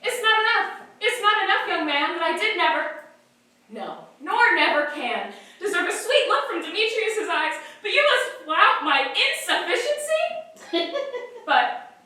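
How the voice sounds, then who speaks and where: A woman's voice: very high voice, very animated, a little slow, bright, forceful tone, heavily acted. A woman playing a spurned, desperate young woman, pleading in emphatic stage verse. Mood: wounded. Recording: echoey stage, distant mic.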